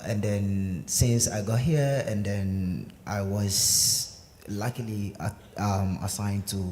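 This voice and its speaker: A young man speaks hesitantly, pausing often with fillers as he tells his own story. His voice sits low and mellow. It is recorded in a large sanctuary with a long reverb. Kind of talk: personal testimony answering questions. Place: synagogue sanctuary. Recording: echoey sanctuary with faint noise.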